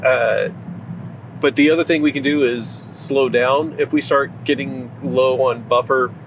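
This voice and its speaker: A mid-pitched man in his forties talks casually from the driver's seat of a car at night, picked up by a dashboard camera. He thinks aloud with lots of "uh" and "like", and faint road hum sits underneath. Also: steady tone, a little slow. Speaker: vlogger. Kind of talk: casual chat while driving. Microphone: camera mounted on the dashboard.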